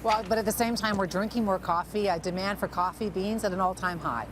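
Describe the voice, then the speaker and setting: A woman's voice: medium pitch, brisk, background noise. A middle-aged Canadian woman anchoring the news in an informal, chatty interview style. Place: newsroom studio.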